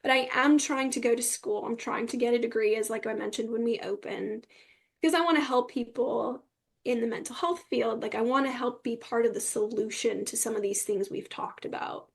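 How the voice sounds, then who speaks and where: A woman's voice: fairly high pitch, a little fast, vocal fry, breathy edge. A young adult woman giving earnest personal testimony as a podcast guest, speaking emphatically.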